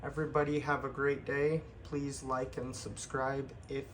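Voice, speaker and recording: A man hosting a tech tutorial, calmly explaining each step of a computer walkthrough with occasional ums. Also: mid-range voice, slight background noise. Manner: unhurried step-by-step explaining with fillers. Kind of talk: screen-recorded how-to walkthrough.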